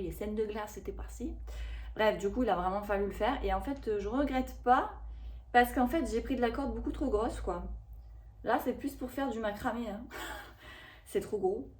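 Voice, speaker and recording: A woman in her thirties chatting casually to camera about a shopping haul, rambling and expressive with lots of fillers. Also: medium pitch, moderate pace. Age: 30s. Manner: chatty and rambling, with frequent fillers and self-corrections. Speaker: vlogger reviewing a shopping haul.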